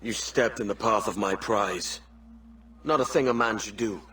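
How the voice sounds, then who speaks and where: An actor playing a roguish, cocky pirate captain in a video game, speaking in a wry, confident, terse way. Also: male voice, fairly low pitch, normal speed, sharp tone, breathy edge. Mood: cold. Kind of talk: video game cutscene acting. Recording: faint ambient noise behind.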